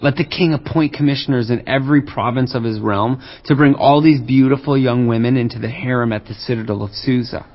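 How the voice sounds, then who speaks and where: A formal, deferential attendant at an ancient court, played by a man, making his case in measured words. The voice is medium in pitch, in a slightly dull audio Bible recording. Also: fairly even intonation, close to an everyday voice. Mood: formal, proposing. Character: formal male attendant advising an ancient king. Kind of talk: dramatized audio Bible acting.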